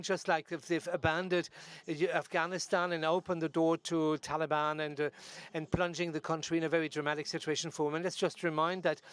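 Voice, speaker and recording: Halting, slightly high-voiced male interpreter. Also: clear, resonant tone. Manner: halting, piecemeal phrasing. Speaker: live interpreter. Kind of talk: live spoken interpretation at a debate.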